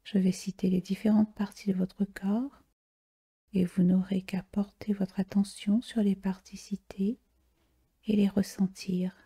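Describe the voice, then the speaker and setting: Female meditation guide: hushed, very soft and mellow delivery, unhurried and soothing, heavy breathiness. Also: medium pitch, flat delivery, slightly rough. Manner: slow, soothing, with long pauses. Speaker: yoga nidra relaxation guide.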